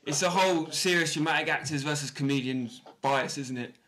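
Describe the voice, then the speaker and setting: A man's voice: fairly high pitch, fairly quick, pushed and bright. A man playing a spiteful, resentful pantomime ugly stepsister with a sarcastic, grumbling manner. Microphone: video call.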